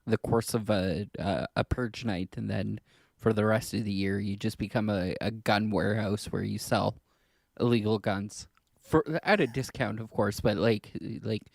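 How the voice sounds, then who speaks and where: A man chatting casually as a podcast co-host, halting and rambling, often repeating words as he works toward his point. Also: lowish voice, fairly even intonation, creaky, no room sound, studio quality.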